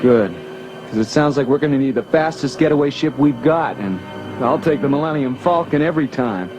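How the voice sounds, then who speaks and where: A man's voice: medium pitch, brisk, lightly acted. An actor playing an eager, earnest young rebel pilot who teases his friends. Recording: dull lo-fi 1980s record with music.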